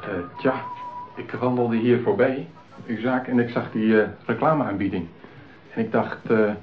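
A man's voice: mid-range voice, fairly even intonation, normal speed, soft background music, lightly acted. An actor playing a shy, lonely male customer in a radio play, speaking in a hesitant, bashful way. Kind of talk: radio drama acting. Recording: tinny, narrow-band old radio play.

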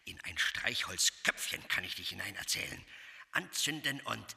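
An actor playing a sinister storyteller in a radio drama, hushed and menacing, with a gravelly, husky voice. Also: male voice, lowish voice, expressive, normal speed, very noisy. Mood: quietly menacing. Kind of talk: German radio drama acting.

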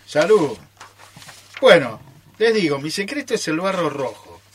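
Guy playing stubborn coy argentine man in a radio play, highish forceful voice, swoops around, takes his time. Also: very animated, a little hiss or hum. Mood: playful. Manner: coy, theatrical, drawn-out. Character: teasing, stubborn man guarding his secret recipe. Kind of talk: amateur radio theatre acting.

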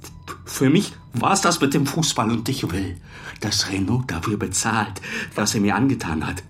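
An actor playing a beaten-up, bitter football goalkeeper in a crime radio drama, giving aggrieved, halting testimony. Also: male voice, fairly low pitch, sing-song. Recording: faint music under the voice.

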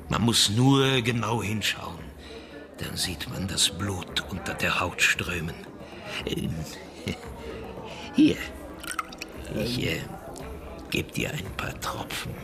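An actor playing a sly, philosophical village medicine man in a German radio drama, speaking in a probing, deliberate way. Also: low voice. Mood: sly, inviting. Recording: music behind the voice. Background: music.

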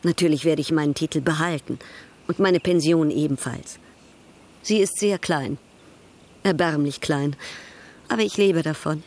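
A proud European baroness in a radio drama. Her voice sits on the low side, and she speaks with poise, teasing and coolly ironic, restless under the polish. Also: normal speed, pauses often, darkish tone. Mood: proud, frank. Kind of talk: German radio drama acting.

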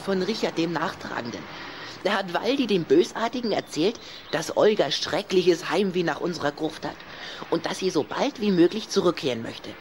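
A woman's voice: on the low side, animated, normal speed, slight background noise, lightly acted. A woman playing a proud, touchy, lovesick young boy vampire in a theatrical way for a children's radio play.

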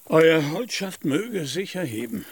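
An actor playing a proud, deluded old knight-errant in a radio drama, speaking in lofty, courtly phrases. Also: male voice, fairly high pitch, very animated, unhurried, vocal fry, slightly rough, no echo, full character voice. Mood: solemn, gallant. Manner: lofty, archaic courtly speech.

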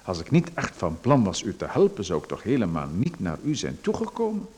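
A man's voice: fairly low pitch, wildly swooping pitch, brisk, a little mellow, slight background noise. An actor in a radio play voicing a pushy, ingratiating man who presses his help on someone and hints at danger. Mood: insinuating. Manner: ingratiating, insistent, with a veiled threat.

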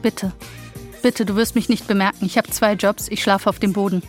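A woman's voice: medium pitch, steady tone, moderate pace, soft background music, lightly acted. An actress playing the narrator's mother as a young woman in a radio drama, speaking bluntly in short, pleading lines.

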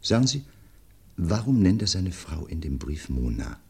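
Very deep, sardonic doctor. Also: male voice, sing-song, normal speed, gravelly, darkish tone, breathy edge, exaggerated voice. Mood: ironic, probing. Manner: ironic, courteous, theatrical. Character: sardonic, erudite male doctor needling the police. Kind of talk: German radio crime drama acting.

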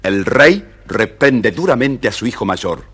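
A man with a husky voice narrating a children's fairy tale dramatically, as a scripted storyteller. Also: medium pitch, very animated, unhurried, fairly bright tone.

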